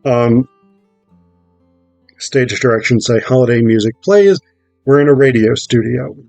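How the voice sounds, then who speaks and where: A man's voice: medium pitch, vocal fry, darkish tone, no room sound, faint music behind. Man lecturing informally, getting over a cold. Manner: halting, thinking aloud with repeated rhetorical check-ins. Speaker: theatre performer explaining a play.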